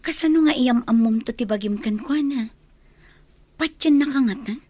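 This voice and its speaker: An actress playing a concerned, cautioning cousin and confidante in an Ilocano radio drama. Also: female voice, a little high, moderate pace, warm tone, no room sound, lightly acted.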